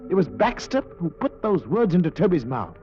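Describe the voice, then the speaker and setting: An actor with a British accent playing an obsessive, possessive ventriloquist in an overwrought, theatrical 1940s radio style. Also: male voice, sits high, very animated, normal speed, over music. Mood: obsessive, aggrieved. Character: unhinged, possessive male ventriloquist obsessed with his dummy. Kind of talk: 1940s old-time radio acting. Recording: muffled, noisy vintage radio recording.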